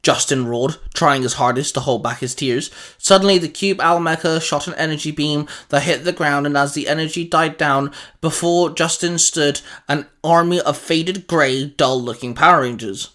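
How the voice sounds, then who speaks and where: Man narrating, slightly high voice. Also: normal speed, hardly any pauses, a bit bright. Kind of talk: dramatic scripted story narration.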